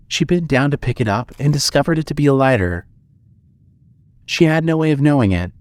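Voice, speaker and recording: A man narrating a scripted horror story in a dramatic, measured storytelling manner. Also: medium pitch, no echo.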